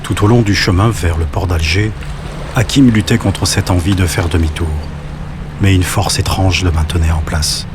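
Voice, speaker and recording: A man narrating a scripted audio drama in a solemn, measured, literary manner. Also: deep voice, steady tone, noisy background. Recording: guitar music behind the voice.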